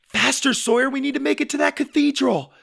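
A man's voice: high voice, huge pitch swings, normal speed, creaky, fairly bright tone, slightly rough, no room sound, studio quality, pitched above the performer's own voice, exaggerated voice. A sensible, bossy girl from a children's storybook, played by a man. The voice is lifted well above a man's usual talk and moves a lot. She sounds cautious, scolding and insistent. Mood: flustered, insistent.

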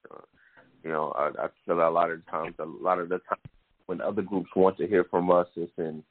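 A thoughtful man with a very low voice joins a radio call-in discussion as a coach. He speaks deliberately, and his voice comes through a narrow, phone-like line. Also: fairly even intonation, slight background noise. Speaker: coach, co-host guest.

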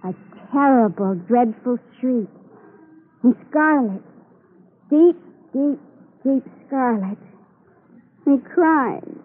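A drunken suspect in a classic radio crime drama, lost in a daze. The voice is high and unhurried, coy and childlike in its politeness. The sound is thin and tinny, like an old broadcast. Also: female voice, animated, heavily acted. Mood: dazed, eerie. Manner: dreamy, childlike politeness, halting. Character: dazed, coy, drunken woman murder suspect. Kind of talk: 1950s radio drama acting.

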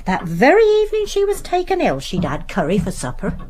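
An actress playing a middle-aged English house servant, chatty, homely and deferential, in a radio drama with an English accent. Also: female voice, fairly high pitch, huge pitch swings, a little fast, darkish tone, a little hiss or hum. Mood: confiding, respectful.

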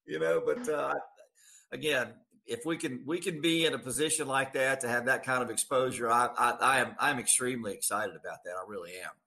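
Bright, forceful male sports announcer. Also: mid-range voice, brisk, creaky. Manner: emphatic, repeats phrases for emphasis. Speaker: radio play-by-play announcer, interview guest. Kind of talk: conversational sports interview.

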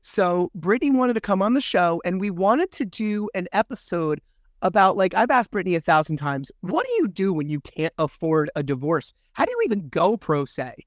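A man hosts a podcast with a raspy voice because he is a little under the weather. He sits a touch high in pitch and talks candidly, swearing freely. The sound is close and dry, with no room around it. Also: very animated, normal speed, no echo. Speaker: podcast host. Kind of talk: casual podcast chat.

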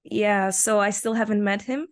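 A young woman from Macau whose first language is Portuguese, a podcast guest who pauses to search for English words. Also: mid-range voice, steady tone. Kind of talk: casual interview.

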